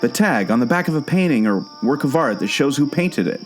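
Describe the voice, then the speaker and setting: Lively, earnest explorer guide acted by a man. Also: medium pitch, brisk, soft background music, lightly acted. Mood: puzzled. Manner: earnest, storytelling. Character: eager, knowing male explorer guide unraveling a mystery. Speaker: co-host of a theme park podcast. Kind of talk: scripted audio drama dialogue.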